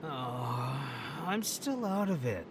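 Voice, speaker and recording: Hungover male party animal: groggy, grumpy yet affable, swinging pitch, distant noisy recording, audio drama. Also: big pitch swings, slow. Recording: noisy, off-mic with rumble.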